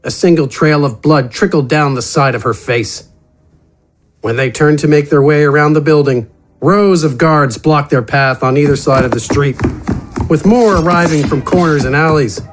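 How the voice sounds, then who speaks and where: A man's voice: a little high, moderate pace. A man reading scripted fantasy adventure narration in a dramatic storytelling style. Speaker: narrator and voice actor. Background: music.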